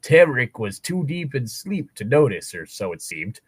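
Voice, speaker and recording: A man of middling pitch reads a story out loud in a casual, joking way. His intonation moves around a lot, with sarcastic, exasperated asides. The sound is dry, with very little room in it. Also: big pitch swings, moderate pace, breathy edge, no echo. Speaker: co-host reading fan fiction aloud. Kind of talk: read-aloud narration with casual joking commentary.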